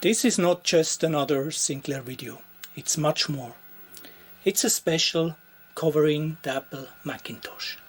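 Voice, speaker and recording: A Swiss man with a Swiss German accent, a native Swiss German speaker, showing his retro computer collection in a slow, deliberate, pausing way. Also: on the high side, breathy edge. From Switzerland. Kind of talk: show-and-tell narration.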